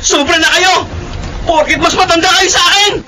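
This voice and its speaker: An actor playing a self-righteous, indignant young brother in a Filipino radio drama. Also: male voice, very high-pitched, steady tone, normal speed, crowd noise, noisy background.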